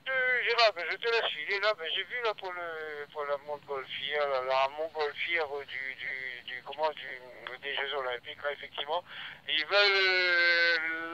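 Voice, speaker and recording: A man's voice: on the high side, bright, forceful tone. A man chatting casually as an amateur radio operator, hesitant and searching for words, heard through a radio transmission. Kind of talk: casual ham radio chat. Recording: dull lo-fi radio link, faint noise.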